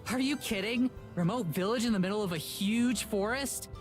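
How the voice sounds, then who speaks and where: A woman's voice: medium pitch, moderate pace, natural voice. Bold, cocky young adventuress, acted by a woman. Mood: excited. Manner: brash, enthusiastic, cocky. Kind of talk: visual novel voice acting. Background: game music.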